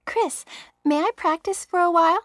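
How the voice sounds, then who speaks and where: A woman's voice: extremely high pitch, moderate pace, no echo, clean studio sound. A woman voicing a young female rookie medic in a 1990s video game, with stiff, stilted line reading. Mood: stiff, polite.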